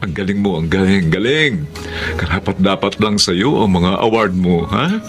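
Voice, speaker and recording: Casting: middle-aged uncle, effusive and stumbling, fairly low, radio melodrama with clear music bed. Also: male voice, lively intonation. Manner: halting, repetitive, effusive. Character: effusive, congratulating uncle of a young graduate. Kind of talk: radio drama acting.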